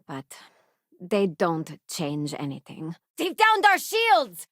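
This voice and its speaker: A woman's voice: mid-range voice, dry recording. A young working-class woman aboard a starship in a science fiction game. She is principled and self-assured, with an earnest, plain-spoken delivery. The sound is dry, with no room around the voice. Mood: urgent, combative. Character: principled working-class woman spacefarer, confident, idealistic crew companion. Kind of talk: video game companion voice lines.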